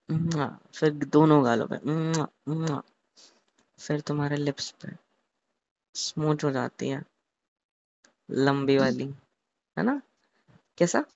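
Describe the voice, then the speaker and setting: A young man in an intimate, casual phone chat, telling a romantic scenario haltingly, repeating words and mixing in English. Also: on the high side, creaky. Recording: muffled lo-fi phone call recording.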